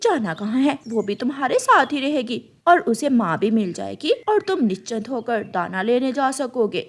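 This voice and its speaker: A woman's voice: on the high side, lively intonation, normal speed. A woman voicing a practical, pushy female crow neighbour, persuasive and matter-of-fact, in a cartoon story.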